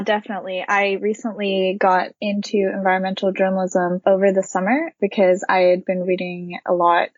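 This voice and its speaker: A woman's voice: medium pitch, darkish tone, no room sound. Young woman, from Japan, student guest, earnest, thoughtful, dry, lo-fi audio. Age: young adult. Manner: earnest, thoughtful, light fillers. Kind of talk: casual interview chat.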